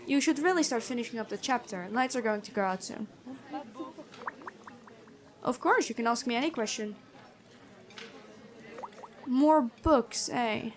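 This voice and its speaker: Woman playing a gentle, high-voiced female prison guard. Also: unhurried, pauses often, a little hiss or hum, lightly acted. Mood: calm, matter-of-fact. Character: stern but gentle noble-born female prison guard, curious, bookish. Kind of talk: ASMR fantasy roleplay, addressing the listener.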